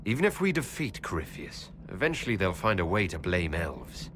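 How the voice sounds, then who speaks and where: A man's voice: deep voice, sing-song, normal speed. An actor playing a wary, direct young male elven hero in a fantasy game. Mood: concerned. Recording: clear background noise and ambience.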